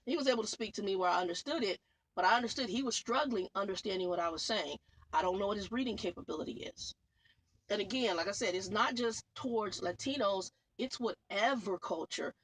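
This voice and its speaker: A woman's voice: mid-range voice, fast talker, poor audio. A woman truck driver vlogging in a casual, rambling way, repeating herself and calling her viewers y'all. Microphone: phone.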